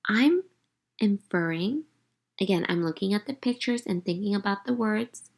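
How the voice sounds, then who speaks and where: Creaky-voiced woman teaching a read-aloud. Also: mid-range voice. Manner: instructive, addresses listeners directly. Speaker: teacher reading aloud to young readers. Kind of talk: read-aloud lesson, storybook narration and guided questions.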